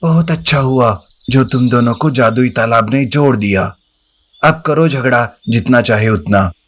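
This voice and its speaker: Man voicing a wise scolding turtle, medium pitch. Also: measured pace, lightly acted. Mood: exasperated. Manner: admonishing, lecturing. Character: wise, scolding male turtle of a magic pond. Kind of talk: Hindi animated moral story dialogue.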